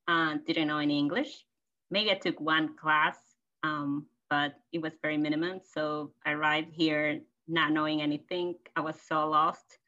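A woman's voice: low voice, flat delivery, normal speed, bright, forceful tone. A woman in her thirties from Peru, a native Spanish speaker, telling personal stories casually and cheerfully as a podcast guest, on slightly lo-fi audio. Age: 30s.

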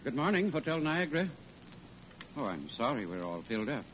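An actor playing a brisk, busy hotel desk clerk in old radio comedy style. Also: male voice, medium pitch, very animated, fairly bright tone. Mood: brisk, officious. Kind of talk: 1940s radio comedy acting. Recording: muffled old radio broadcast, faint noise.

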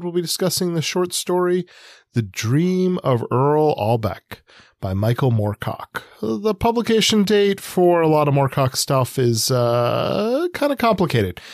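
A man's voice: a little high, big pitch swings, unhurried, dry recording, clean studio sound. Casual American man co-hosting a podcast. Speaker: podcast co-host. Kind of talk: casual literary podcast discussion.

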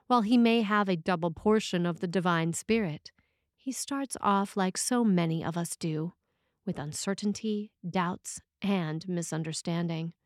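A woman priest reading a scripted sermon aloud in a measured, expressive way with deliberate phrasing. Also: lowish voice, no echo, studio quality.

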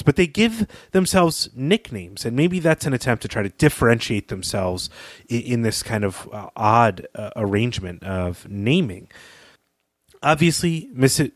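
A man's voice: on the low side, no room sound. Man analysing fiction, dry close podcast sound. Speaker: podcast host. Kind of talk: conversational literary analysis.

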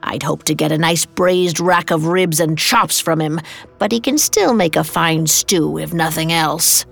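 Woman playing a slow, creaky, cruel old witch. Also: deep voice, exaggerated voice. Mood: furious, impatient. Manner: menacing, scornful, demanding. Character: cruel, impatient old witch who eats children.

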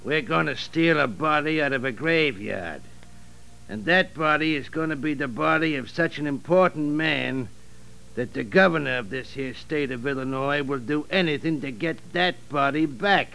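A tough gang boss from an old radio crime play. His voice is rather high and gravelly, with a hard, sharp edge. He lays out crooked schemes in forceful, conspiratorial talk. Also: normal speed. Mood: scheming, urgent. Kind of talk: 1940s radio drama acting.

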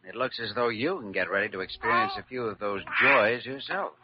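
An actor playing a calm, caring hospital doctor, measured and thoughtful, in 1940s radio drama style. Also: male voice, on the low side, very noisy, natural voice. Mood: earnest, wry. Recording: dull vintage radio recording.

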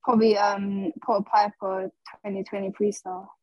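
A woman's voice: mid-range voice, little pitch movement, moderate pace, no room sound, highs cut off. A woman guest on a video call, answering hesitantly with fillers. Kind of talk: casual chat over video call.

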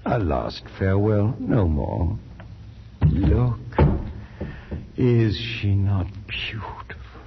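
An actor playing a morbid, grief-crazed last heir of a decaying family, theatrical and feverish, in a 1940s radio horror play. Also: male voice, on the low side, very animated, drawn-out words, vocal fry, pauses often, very noisy, heavily acted. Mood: mournful tenderness. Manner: theatrical, feverish, swinging from hushed brooding to frantic outbursts. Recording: muffled 1940s radio broadcast recording.

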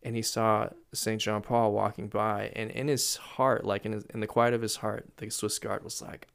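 Casting: lower-pitched man with a gravelly voice, chatty and storytelling. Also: moderate pace. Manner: storytelling, animated with laughs. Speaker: guest speaker. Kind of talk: casual podcast chat.

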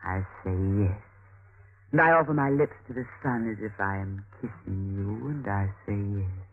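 An actress playing a cunning, coaxing young Renaissance noblewoman in a vintage radio drama, speaking in short, deliberate stage phrases. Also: extremely low pitch, soft background music. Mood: languid, teasing. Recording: muffled, noisy narrow-band old radio recording.

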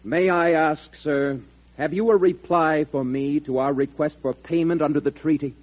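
A man's voice: fairly high pitch, steady tone, normal speed, lightly acted. An actor playing a formal, forthright American statesman, polite but insistent, in an old radio drama. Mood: polite, eager. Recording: muffled vintage radio recording.

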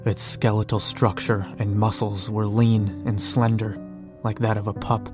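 Weary, sardonic male survivor, actor-played, low and flat, narrating a horror audio diary over soft music. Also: a little slow, natural voice. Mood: grim. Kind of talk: first-person dramatic diary narration.

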